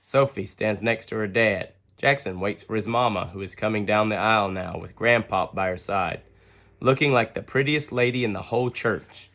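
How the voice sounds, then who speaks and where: A man reading a children's picture book aloud as an expressive, deliberate storyteller. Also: fairly low pitch. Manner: deliberate storytelling with acted-out dialogue. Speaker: story reader.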